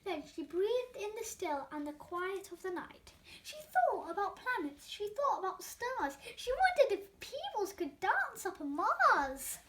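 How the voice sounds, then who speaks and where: A young-sounding female reader presenting a children's storybook with expressive narration. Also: extremely high pitch, unhurried, hardly any pauses.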